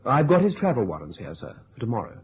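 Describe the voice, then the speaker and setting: Army lieutenant, old radio drama: courteous, subordinate, middle pitch, heavily husky, dull recording. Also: male voice, huge pitch swings, fast, full character voice. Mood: polite, puzzled. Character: polite, deferential young male army lieutenant. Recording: muffled vintage radio recording.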